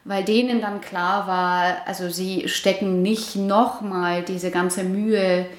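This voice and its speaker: A woman in her forties, born in Iran, answering interview questions thoughtfully with many pauses and restarts. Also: medium pitch, fairly even intonation. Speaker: interviewee, housing project resident. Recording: roomy echo.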